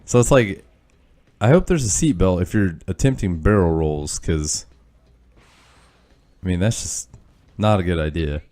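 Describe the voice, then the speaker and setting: A man's voice: deep voice, lively intonation, a little slow, long pauses, warm tone. A young man with a stuffy, nasal voice, rambling loosely through let's-play commentary with lots of fillers and self-deprecating asides. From Kansas. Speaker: gaming channel host.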